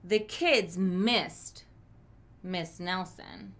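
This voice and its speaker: A woman teacher reading a storybook aloud to children, expressive, with chatty asides to her listeners. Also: lowish voice, drawn-out words. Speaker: elementary school teacher.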